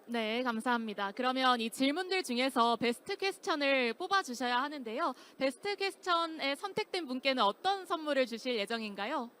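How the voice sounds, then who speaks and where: High-voiced, courteous female event host. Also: lively intonation, a little fast, few pauses, fairly bright tone. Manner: very polite, courteous announcer phrasing. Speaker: conference session host. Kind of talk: formal, scripted-sounding event hosting. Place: conference hall stage, amplified talk.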